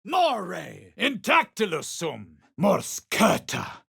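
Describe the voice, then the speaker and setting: An intense spellcaster in a fantasy game, declaiming incantations. His voice is high and forceful, swinging widely in pitch, with emphatic delivery. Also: huge pitch swings, takes it slowly, vocal fry, husky, full character voice. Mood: intense, commanding. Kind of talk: video game voice acting, short spell incantations.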